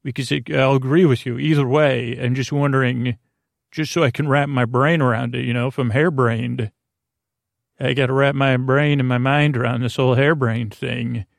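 Rambling, creaky-voiced man hosting a bedtime podcast. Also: medium pitch, steady tone, no echo, studio quality. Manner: meandering, halting, with trailing tangents; soothing, reassuring. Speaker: podcast host. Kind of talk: rambling bedtime story monologue.

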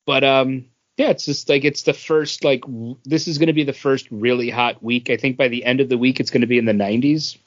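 A man with a mid-pitched voice chats casually as a podcast co-host. His wording is informal and slangy. The audio is slightly dull and lo-fi, like an internet call. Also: fairly even intonation, fairly quick, few pauses. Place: remote voice call from home.

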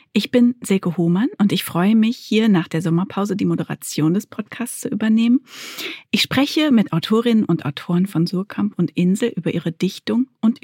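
Husky woman hosting a podcast. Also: mid-range voice, a little mellow, no room sound, studio quality. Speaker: podcast host. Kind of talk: prepared introduction and interview questions.

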